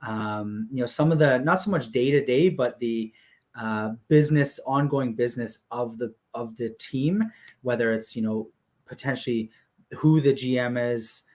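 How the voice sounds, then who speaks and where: A man's voice: mid-range voice, moderate pace. Man explaining in easy, filler-laden talk. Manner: steady, explanatory, many fillers like "you know" and "um". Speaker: guest explaining a hockey league business model. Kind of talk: casual conversational explanation.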